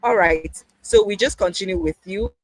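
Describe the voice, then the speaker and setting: A man's voice: high voice, moderate pace, no echo. A man hosting an online mentorship session, interviewing in a conversational way over a video call.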